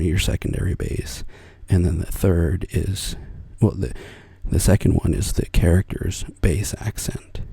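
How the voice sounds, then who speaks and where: Soft-spoken, gravelly, breathy American man. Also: sits low, dry recording. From United States. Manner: soft-spoken, often dropping to a whisper; meandering, self-correcting, chuckles softly. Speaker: ASMR creator. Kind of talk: soft-spoken ASMR rambling chat.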